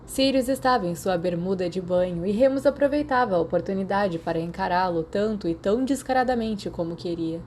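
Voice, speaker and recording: A woman's voice: medium pitch, animated, brisk, hardly any pauses, a little mellow. Brazilian woman narrating fiction, faint noise. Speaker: audiobook narrator.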